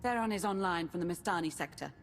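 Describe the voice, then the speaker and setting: A woman playing a brisk, formal officer reporting to her lord in a game cutscene. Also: fairly low pitch, long reverb tail, soft background music, lightly acted.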